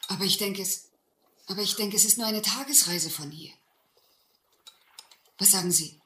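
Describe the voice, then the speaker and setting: Genteel young lady at sea female actor: anxious, indignant, bright voice in a roomy radio play. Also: mid-range voice, normal speed, natural voice. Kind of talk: German audio adventure drama acting. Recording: roomy old radio-play recording, faint noise.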